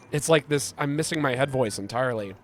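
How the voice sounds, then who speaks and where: A man streaming a game, chatting with his viewers and answering their messages. He is sick and congested, and his voice is hoarse, gravelly and deep. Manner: rambling, reads chat aloud and answers it. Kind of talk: casual live-stream chat while gaming.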